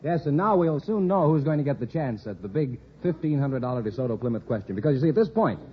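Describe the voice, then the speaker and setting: A man's voice: medium pitch, animated, fast, a little hiss or hum. A man working as a radio show announcer in a mid-century broadcast style. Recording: muffled old radio recording.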